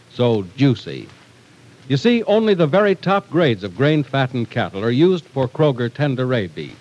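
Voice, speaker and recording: Man, 1940s radio announcer, medium pitch, animated intonation, emphatic, polished, scripted. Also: low-quality recording.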